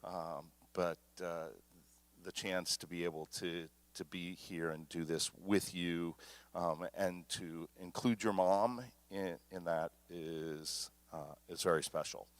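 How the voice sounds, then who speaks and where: A man officiating a small wedding, speaking informally and haltingly into a microphone. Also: deep voice, steady tone, dry recording. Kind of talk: informal wedding ceremony address. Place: small wedding ceremony at a temple, streamed. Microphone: microphone through a sound system.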